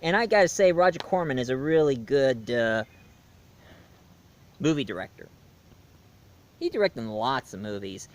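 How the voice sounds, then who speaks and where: A man hosting a casual movie review show, rambling with lots of fillers and restarts and swinging between loud bursts and quiet asides. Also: mid-range voice, lively intonation, moderate pace, pauses often.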